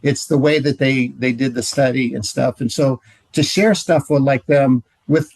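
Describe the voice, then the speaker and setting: A man gives an informal presentation in a mid-pitched voice with very little room sound. He is halting, often doubling back to repeat a phrase. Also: darkish tone, dry recording. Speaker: presenter in marriage ministry.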